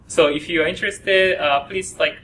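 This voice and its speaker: Man running a student orientation, stops and starts a lot, bright tone, kinda far from the mic. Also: a little high, steady tone. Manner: halting, frequent uh fillers. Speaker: program coordinator at an orientation. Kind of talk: presenting to students. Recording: distant mic with faint noise.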